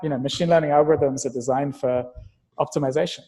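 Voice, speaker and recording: A man with a fairly high voice and a soft, dark tone speaks as a podcast guest. He explains ideas analytically and casually, and the recording carries a long hall-like reverb. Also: steady tone, fast talker. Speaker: interview guest, tech investor and entrepreneur.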